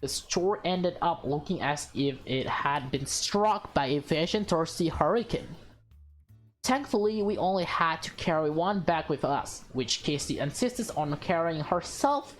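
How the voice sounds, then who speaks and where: A woman reads a visual novel aloud as an amateur narrator, halting and rereading lines as she stumbles. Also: lowish voice, slight background noise. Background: background music.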